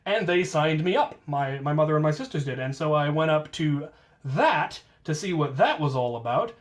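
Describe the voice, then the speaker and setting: An American man casually telling stories from his own life, with playful self-deprecation and drawn-out emphasis on key words. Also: on the high side, animated. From United States. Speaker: storyteller, host of a hobby channel. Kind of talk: casual autobiographical storytelling.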